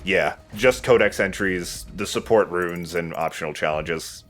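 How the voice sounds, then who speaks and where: A man's voice: low voice, measured pace, fairly bright tone. A man hosting a let's play, chatting casually and jokingly as he plays. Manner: joking, rambling. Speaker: let's play host playing the game. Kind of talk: casual gameplay commentary chat. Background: video game music.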